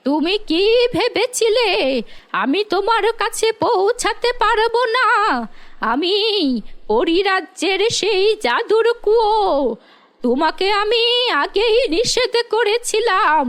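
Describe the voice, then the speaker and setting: Woman voicing a slow, high-pitched old fairy-tale crone. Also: expressive, a bit bright, heavily acted. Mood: stern, punishing. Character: stern old woman, a magic well spirit in disguise. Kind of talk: animated fairy-tale storytelling.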